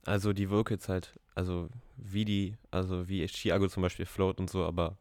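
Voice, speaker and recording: A man's voice: low voice, flat delivery, normal speed, a little mellow, no room sound. A young man co-hosting a casual music chat, laid-back and slangy. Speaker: podcast co-host.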